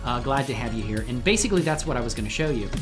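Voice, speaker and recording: A man's voice: mid-range voice, rapid-fire, music in the background. A man hosting a casual livestream chat, talking in an effusive, grateful manner with his viewers. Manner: effusive, excitedly whooping greetings to viewers, grateful. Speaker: live stream host and 3D animation creator.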